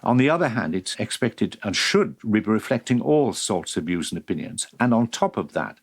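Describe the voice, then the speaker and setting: A man presenting current-affairs radio, putting points to a guest in a formal, carefully worded, deliberate way. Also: lowish voice, very animated, breathy edge.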